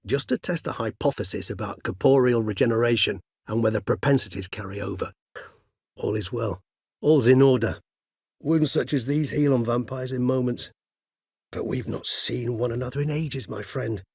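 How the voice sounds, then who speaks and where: A courteous old vampire scholar for a video game, in a lowish, slightly husky voice recorded close and dry. He speaks formally and with measured eloquence, philosophical and wry, fond of long, precise phrasing. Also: no room sound. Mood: grave, then courteous, explanatory. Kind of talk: video game character dialogue.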